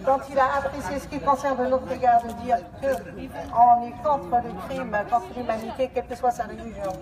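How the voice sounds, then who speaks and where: Woman at a street protest, fired up, repeats herself a lot, kinda high voice, crowd chatter all around. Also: fairly even intonation, moderate pace. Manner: impassioned, repetitive, with fillers. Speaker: protest organizer. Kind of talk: impromptu protest speech. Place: outdoors on a city square at a protest.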